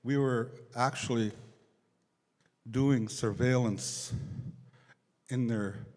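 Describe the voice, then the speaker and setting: A man giving an unscripted public address at an assembly, speaking haltingly and repeating phrases, over a hall sound system. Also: medium pitch, darkish tone. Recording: echoey large hall over PA.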